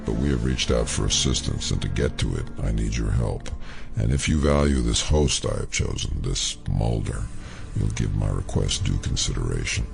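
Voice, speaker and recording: Ancient alien entity, abyssally deep, heavy guttural croak, cold, menacing, portentous, audio drama. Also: male voice, animated, heavily acted. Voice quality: gravelly, guttural. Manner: slow, portentous pronouncements. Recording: music behind the voice.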